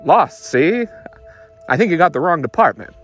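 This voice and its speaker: A man's voice: on the high side, big pitch swings, moderate pace, a bit bright, highs cut off, faint music behind, voice pushed up. A man playing a hard-boiled 1940s private detective in a radio noir parody, wisecracking in clipped old-time gumshoe slang. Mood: wry, brisk.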